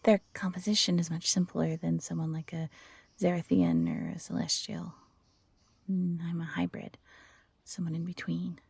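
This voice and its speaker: A woman playing a gentle, eager fox-hybrid former servant in an intimate roleplay audio drama, soft-spoken and earnest with a breathy, slightly husky voice. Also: lowish voice, level tone, normal speed, dry recording, exaggerated voice. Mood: wistful, reflective.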